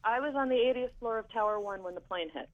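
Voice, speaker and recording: A man calling in to a radio show, telling his account calmly and steadily with soft fillers. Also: sits high, fast. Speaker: caller. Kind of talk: call-in radio talk. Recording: tinny phone line.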